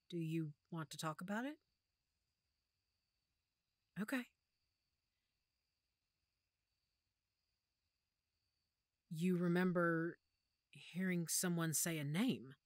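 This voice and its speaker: A woman acting a protective, weary werewolf pack leader in an audio roleplay, speaking softly and measuredly with thoughtful pauses. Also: on the low side, no room sound, clean studio sound. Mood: gentle, concerned. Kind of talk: audio roleplay drama, one-sided conversation.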